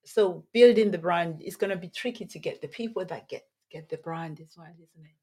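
A soft-voiced woman, a nutritionist, chatting as a podcast guest, animated and quick to laugh, often restarting her sentences. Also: fairly low pitch, breathy edge. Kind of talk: casual interview chat.

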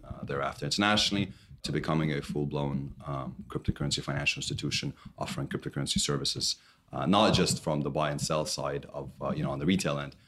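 A man with an extremely low voice talks through his answers. He hesitates, fills pauses and restarts his sentences. He speaks as a guest in a podcast interview. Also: fairly bright tone. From Canada. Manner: hesitant, many fillers and restarts. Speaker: startup CEO guest.

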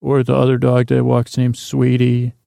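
A man with a gravelly voice giving a rambling, halting first-person comic monologue as a podcast host, often interrupting himself and slipping into imagined dialogue. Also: mid-range voice, completely flat delivery, mellow, no room sound, clean studio sound. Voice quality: gravelly, creaky. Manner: halting, meandering, constant false starts and self-interruptions.

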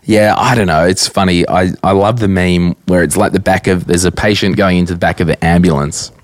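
Man, Australian accent, croaky, deep voice, dry close sound, blunt chatty host. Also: steady tone, fairly quick, no room sound. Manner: chatty, blunt, rambling with fillers. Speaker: podcast host. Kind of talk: casual solo Q&A podcast. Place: at a desk, indoors.

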